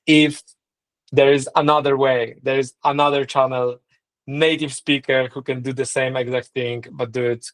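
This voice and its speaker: A man's voice: medium pitch, level tone, normal speed, fairly bright tone, poor audio. A middle-aged man with a Polish accent, a non-native English speaker, being interviewed on a podcast and thinking aloud with frequent restarts and "right?" checks. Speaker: co-founder and marketing lead, guest.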